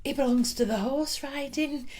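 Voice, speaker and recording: A woman's voice: high-pitched, normal speed, a little mellow, voice pushed up, natural voice. A woman voicing a timid, deferential young working-class schoolgirl with a hesitant, trembling voice in an audiobook reading. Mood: nervous, meek.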